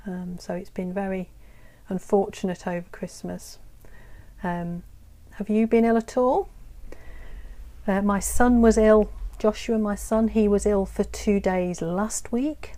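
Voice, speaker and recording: A woman teaching English in a live online lesson, explaining slowly and patiently with many pauses and ums. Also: medium pitch, little pitch movement, mellow. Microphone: phone or computer on a live stream.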